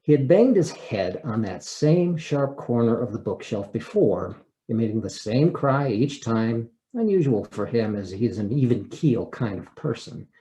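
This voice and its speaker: A man performs a dramatic reading of a personal essay. His delivery is theatrical and expressive, shaped by deliberate pauses and swells of feeling. His voice sits at an average male pitch. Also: low-quality recording. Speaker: actor reading an essay aloud.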